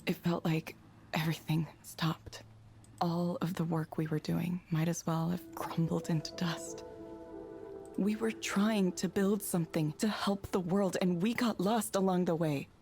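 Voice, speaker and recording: A woman's voice: fairly low pitch, fairly even intonation, unhurried, over music. An actress playing a disillusioned, grieving young woman in a visual novel game, subdued and introspective. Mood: hollow, grieving. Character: disillusioned, grieving young woman, quietly reflective former engineer.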